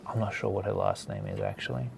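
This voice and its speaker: Casual man with a creaky voice. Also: low-pitched, huge pitch swings, fast talker, a little hiss or hum. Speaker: host of a comics drawing studio session. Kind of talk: casual chat.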